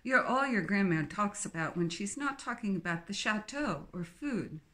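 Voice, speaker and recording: A woman reading a novel aloud, voicing a cheerful, chatty young English woman with an English accent who talks with excitement. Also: medium pitch, moderate pace, lightly acted. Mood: excited. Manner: bubbly and excitable.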